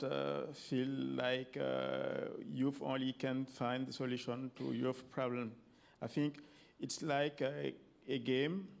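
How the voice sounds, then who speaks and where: A man with a French accent, a government minister giving a formal speech in a slow, measured way with hesitant pauses. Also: mid-range voice, gravelly, clean tone. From French-speaking African country.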